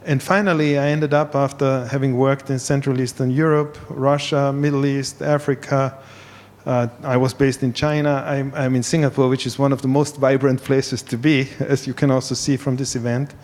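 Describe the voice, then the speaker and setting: An Austrian man in his fifties speaks earnestly, sometimes repeating words, at a middle pitch. His voice carries a long reverb from the event hall around him. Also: flat delivery, normal speed, darkish tone. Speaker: panelist. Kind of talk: self-introduction on a panel.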